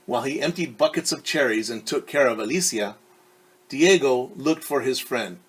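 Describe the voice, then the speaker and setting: Husky man reading a picture book. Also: medium pitch, expressive, fairly bright tone, low-quality recording. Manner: pauses to explain words and quiz young listeners. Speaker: teacher.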